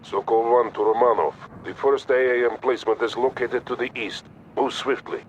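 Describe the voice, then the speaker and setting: An actor playing a stern Soviet field commander giving clipped, authoritative radio orders. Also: male voice, mid-range voice, fairly even intonation, moderate pace, slight background noise, lightly acted, poor audio. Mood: stern, resolute. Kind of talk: military radio briefing.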